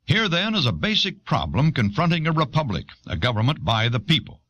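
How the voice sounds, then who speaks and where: A man narrating a scripted documentary in a measured, explanatory way, recorded on an old audio cassette. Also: on the high side, sing-song, pushed and bright, lo-fi sound.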